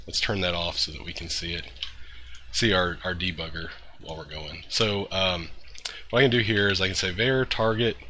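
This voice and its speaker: A man hosting a programming tutorial, a little congested from a cold, talking through code steps in a relaxed way with fillers and throat-clearing. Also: deep voice, a little hiss or hum. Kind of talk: screen-recorded coding tutorial.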